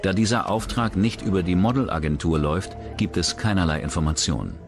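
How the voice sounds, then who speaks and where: True-crime narrator: man with a low voice, scripted delivery over clear background music. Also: fairly quick. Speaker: documentary narrator.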